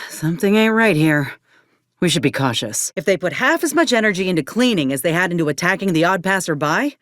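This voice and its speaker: Game companion: plain-spoken female ex-army medic, wary and folksy, mid pitch with lively swings, close dry recording. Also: dry recording. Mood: watchful, dry. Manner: folksy, drawling frontier speech with ain't and folk. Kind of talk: video game character dialogue.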